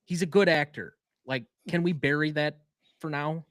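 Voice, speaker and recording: A man's voice: on the high side, normal speed, fairly bright tone, no echo. Opinionated man, dry close sound. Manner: opinionated, emphatic. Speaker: podcast co-host. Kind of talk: casual chat.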